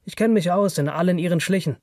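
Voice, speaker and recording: A man's voice: high voice, quick pace, a little mellow, no echo, studio quality, pitched above the performer's own voice. A man voicing a cocky young man who brags about women in coarse, self-assured slang, in an audiobook reading. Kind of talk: audiobook character dialogue.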